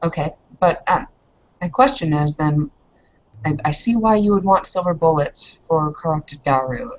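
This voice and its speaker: A woman speaks in character during an improvised game. Her voice sits fairly low and she feels out each question with hesitation. The audio is slightly muffled and lo-fi. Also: normal speed. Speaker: player in an online roleplaying session. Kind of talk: in-character improvised roleplay talk.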